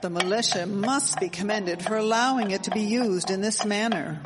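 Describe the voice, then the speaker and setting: A woman's voice: medium pitch, normal speed, lightly acted. A woman playing a wry, outspoken woman of the 1870s in a radio drama, with formal period phrasing. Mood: pleasant, chatty. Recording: echoey hall, faint background noise.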